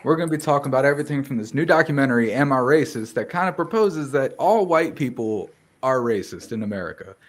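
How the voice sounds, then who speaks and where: A man's voice: mid-range voice, lively intonation, fast, hardly any pauses. A man hosting a casual podcast, upbeat and loosely conversational. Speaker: podcast host.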